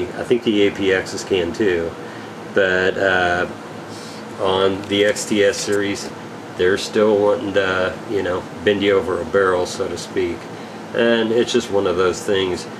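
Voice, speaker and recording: A man giving a casual, unscripted product update as an equipment dealer, in a rambling, halting, matter-of-fact way. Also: low voice, noisy background.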